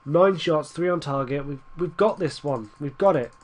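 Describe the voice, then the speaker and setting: A man's voice: a little high, normal speed. A young man with a stuffy nose from a cold, rambling through casual commentary over a football management game and urging his team on. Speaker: gaming YouTuber.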